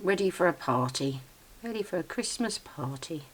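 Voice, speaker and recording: A woman chatting casually in a gentle, unscripted, self-deprecating way as she co-hosts a craft video. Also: on the low side, lively intonation, moderate pace, slight background noise, rough recording. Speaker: craft channel co-host. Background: background music.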